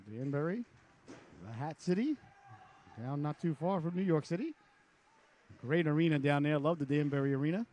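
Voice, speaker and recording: Raspy guy calling a hockey game, bit of a cold, higher voice, rambles between plays. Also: a little mellow. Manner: chatty asides between rapid calls. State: hoarse from a cold. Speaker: play-by-play announcer. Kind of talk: live hockey play-by-play commentary.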